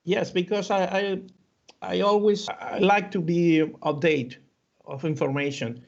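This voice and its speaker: Interview guest, male, Cuban Spanish accent, slow and halting, raised pitch, gravelly, dull lo-fi sound. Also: steady tone. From Cuba. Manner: halting, many fillers and restarts. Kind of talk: hesitant conversational interview answers. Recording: dull lo-fi audio.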